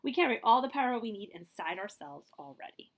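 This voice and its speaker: A woman reading a children's picture book aloud in an expressive, emphatic storytelling manner, like a librarian at story time. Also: fairly high pitch, a little fast, slight background noise.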